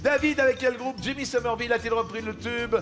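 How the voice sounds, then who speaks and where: A man's voice: very high voice, steady tone, fast talker. A man hosting a quiz show in a playful, teasing and theatrical manner, sometimes slipping into song. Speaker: game show host. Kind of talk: lively quiz show presenting with playful banter. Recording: TV studio with music behind. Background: show music.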